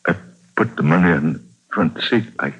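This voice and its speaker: An actor playing a distraught father in shock, speaking in halting, repeated fragments in 1940s radio drama style. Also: low voice, lively intonation, breathy edge. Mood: dazed grief. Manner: halting, broken phrases, repeating himself. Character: grief-shattered father in shock, haltingly recounting. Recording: dull old radio drama recording.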